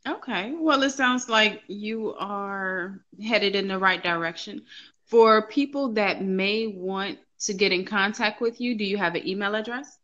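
A woman's voice: mid-range voice, measured pace, sharp tone, highs cut off. A woman hosting a casual podcast interview who asks encouraging, upbeat questions.

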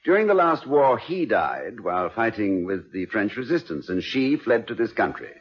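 An actor playing a genial, scholarly college president and playfully wordy husband in 1950s radio comedy style, courteous, wry and musing. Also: male voice, on the low side, very animated, normal speed, breathy edge. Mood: wry, pleased. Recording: dull vintage radio recording.

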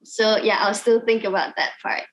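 A woman of twenty from Malaysia, a Cantonese native speaking English, answering interview questions in a soft, hesitant way with frequent ums. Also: medium pitch, steady tone, moderate pace, low-quality recording. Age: 20s. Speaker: singer guest.

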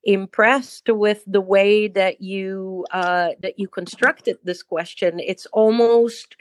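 A woman's voice: mid-range voice, steady tone, slow, no echo. A middle-aged woman from Israel with an Israeli accent, a rabbi and cantor answering an interview in an effusive, conversational way with frequent restarts.

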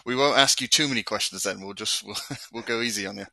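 A man's voice: fairly low pitch, fast talker, gravelly, dry recording. A man hosting a live online architecture discussion, chatting casually with fillers and easy laughs. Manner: relaxed, rambling, frequent fillers and laughs. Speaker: host of an architecture talk.

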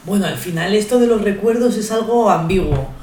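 A young woman with a Spanish accent from Spain, talking in a relaxed, thoughtful way as a podcast guest, with many pauses and fillers. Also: medium pitch, roomy sound. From Ecuador, raised in Spain. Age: young adult. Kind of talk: casual podcast conversation.

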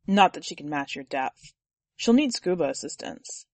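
Woman lowering her voice for a quiet male boatman. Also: expressive, normal speed, dry recording. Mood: sheepish. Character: gentle middle-aged male boatman.

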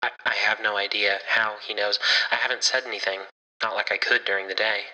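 A man's voice: on the low side, steady tone, fairly quick, bright, forceful tone, big-room sound, poor audio. A man voicing an anxious, earnest young man who stammers, in an audio story. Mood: worried. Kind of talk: audio fiction dialogue.

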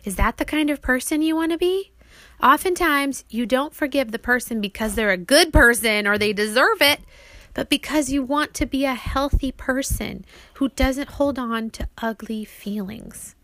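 A woman's voice: sits high, moderate pace, fairly bright tone, no room sound. A woman reading an audiobook as its author, explaining things simply, as if to young children.

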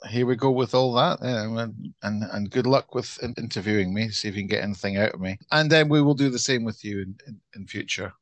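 A middle-aged man from Glasgow with a Scottish accent, co-hosting a podcast and being interviewed, wry and self-deprecating with halting restarts. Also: on the low side, dry recording. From Scotland. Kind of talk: casual podcast chat.

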